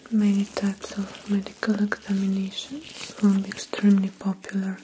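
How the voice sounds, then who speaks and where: A young woman speaking in a hushed, breathy, whispery voice, in a soft-spoken ASMR medical role play as a dermatologist, with halting phrases. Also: mid-range voice, monotone, background noise. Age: young adult. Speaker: ASMR performer playing a dermatologist. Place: small indoor room set up as an office.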